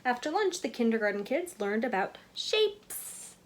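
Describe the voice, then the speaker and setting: A woman reading a picture book aloud to children in an expressive way, with chatty asides to her young listeners. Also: a little high, measured pace. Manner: animated, expressive storytelling with questions to listeners.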